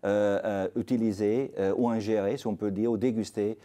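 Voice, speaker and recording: An older man from Italy with an Italian accent, a restaurateur telling stories in a TV interview, halting with many fillers. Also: on the low side, fairly even intonation, dark tone. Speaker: restaurateur guest. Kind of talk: TV interview, anecdotal.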